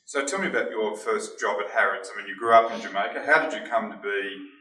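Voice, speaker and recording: A man hosting a podcast, introducing his guest and asking questions in a halting way with frequent uhs. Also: on the low side, bright, forceful tone. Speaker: podcast host. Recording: roomy sound, mic set at a distance.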